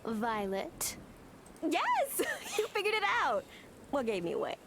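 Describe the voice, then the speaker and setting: A woman voicing a blunt, excitable young villager in a video game, with an emphatic, slangy way of talking. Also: fairly high pitch, a little slow, very noisy, heavily acted. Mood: thrilled.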